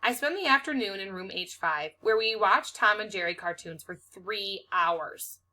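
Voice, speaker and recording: A woman's voice: medium pitch, animated, moderate pace, sharp tone, low-quality recording. A woman reading a children's novel aloud expressively, as a teacher does for students.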